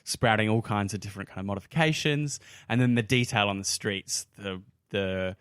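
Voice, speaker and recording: A man's voice: on the low side, normal speed, a bit bright, clear, resonant tone, no room sound, studio quality. An Australian man hosting a casual podcast discussion among friends. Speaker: podcast host.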